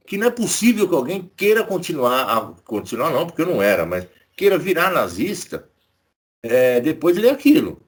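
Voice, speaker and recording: A man's voice: medium pitch, slightly rough. A man with a Brazilian Portuguese accent giving casual political commentary as co-host, indignant and sarcastic, swearing freely.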